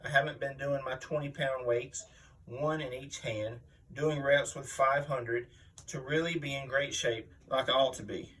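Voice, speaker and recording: A man's voice: medium pitch, fairly even intonation, measured pace, fairly bright tone, far from the mic, lightly acted, poor audio. A man playing a boastful, mean veteran wrestling villain, blustering and aggrieved as if giving an interview. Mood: grudging, defiant. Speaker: reader voicing an interviewed wrestler. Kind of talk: dramatic magazine interview reading, wrestling promo.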